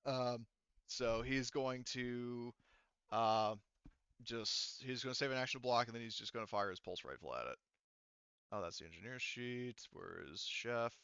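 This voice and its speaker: A man's voice: medium pitch, normal speed, gravelly, a bit bright, clean tone, no room sound, dull audio. A man casually running a tabletop game as game master, explaining rules and narrating the action.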